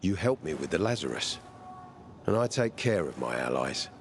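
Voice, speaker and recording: A man's voice: low voice, big pitch swings, moderate pace, gravelly, slight background noise, exaggerated voice. A man voicing a stern, threatening male Peacekeeper officer in a video game, delivering cold warnings.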